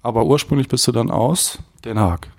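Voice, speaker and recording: A man's voice: medium pitch, lively intonation, moderate pace, no room sound, studio quality. A man hosting a podcast, introducing and interviewing a guest in a friendly, conversational way. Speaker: podcast host.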